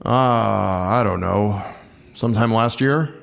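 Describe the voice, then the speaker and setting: A man's voice: on the low side, slow pace, vocal fry. An actor playing a guarded male patrol officer in a naturalistic audio drama, blunt, offhand and reluctant to talk. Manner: offhand, reluctant, plain-spoken.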